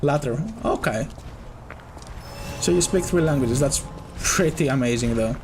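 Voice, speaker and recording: A man's voice: mid-range voice, a little slow, music in the background. A man with a Greek accent tinged with British, a game developer chatting casually with viewers while playing, rambling and correcting himself often. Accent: Greek, slightly British-tinged. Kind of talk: casual gameplay chat with viewers.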